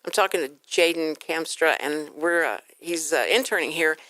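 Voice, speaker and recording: A woman's voice: deep voice, normal speed, fairly bright tone, no echo. A woman hosting a casual radio interview, chatty and effusive with exclaiming reactions. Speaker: radio show host. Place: radio studio.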